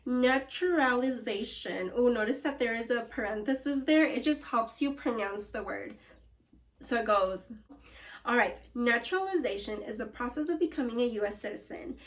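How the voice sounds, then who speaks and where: Female elementary teacher, higher-pitched voice, read-aloud lesson style, encouraging, cueing young listeners. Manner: encouraging, prompting listeners with questions and cues.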